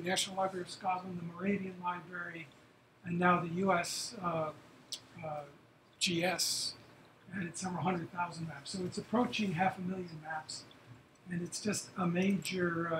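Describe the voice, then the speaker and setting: A man acting as host, giving an admiring, measured introduction of a guest speaker in a university lecture room. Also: sits high, a bit bright, slight background noise. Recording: echoey room sound.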